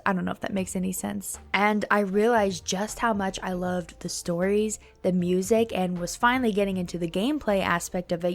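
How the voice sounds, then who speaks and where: A woman in her twenties telling her own story in a casual chat, enthusiastic and reflective. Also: mid-range voice. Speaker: guest panelist.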